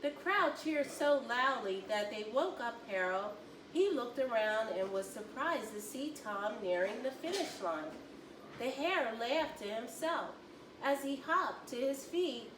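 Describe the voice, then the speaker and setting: A woman narrates a children's storybook in a lively, sweeping voice on the high side. She tells it deliberately and expressively. The sound is echoey, picked up from a distance. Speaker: volunteer children's story reader. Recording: roomy, distant mic, faint noise.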